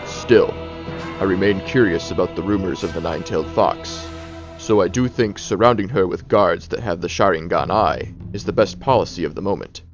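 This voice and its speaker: Authoritative older male leader voiced by a man for audio drama: deep, deliberate, slightly dull sound. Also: natural voice. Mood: stern, measured. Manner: formal, deliberate. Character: authoritative older male village leader, stern but measured. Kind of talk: fan audio drama acting. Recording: dull lo-fi sound, faint music behind.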